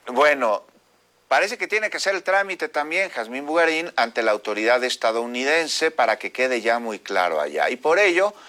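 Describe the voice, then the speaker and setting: Male Mexican morning radio host, lively and chatty, bright forceful voice. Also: mid-range voice. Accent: Mexican Spanish. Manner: lively, chatty, reads listener messages. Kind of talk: morning news radio hosting.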